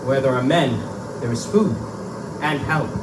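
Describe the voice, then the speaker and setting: A man's voice: medium pitch, a little slow, lightly acted. A man playing a confident, scoffing big game hunter in a theatrical live reading of a pulp adventure drama. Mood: determined, searching. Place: live event in a bookstore. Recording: echoey live room, distant mic, loud hum.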